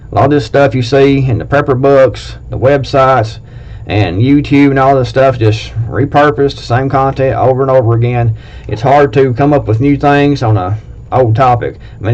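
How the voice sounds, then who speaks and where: A man with an Appalachian accent talks plainly and bluntly to his viewers, wandering and restarting as he goes. His voice sits at a medium pitch. The recording is dull and lo-fi. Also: a little mellow. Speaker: prepping video host. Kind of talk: casual talk to camera, reading a list.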